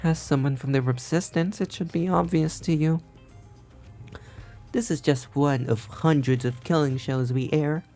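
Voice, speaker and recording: A woman playing a sly, cocky turncoat schemer in a visual novel game, with smug, teasing, drawn-out delivery. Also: deep voice, mellow, soft background music. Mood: cold, explaining.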